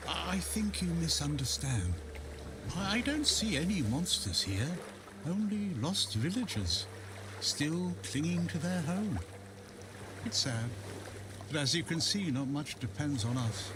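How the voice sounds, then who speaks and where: An actor playing a wistful, philosophical old hermit by the sea in a fantasy video game. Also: male voice, a little high, very animated, background noise. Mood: gently sad. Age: older. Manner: slow, musing, philosophical. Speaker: non-player character in a fantasy RPG. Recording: water ambience behind the voice.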